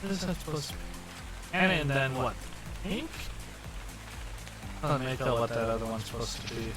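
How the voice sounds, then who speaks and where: A man's voice: mid-range voice, steady tone, moderate pace, music in the background. A man chatting casually with a friend while they play a video game together. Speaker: co-op gaming partner. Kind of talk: casual gaming banter.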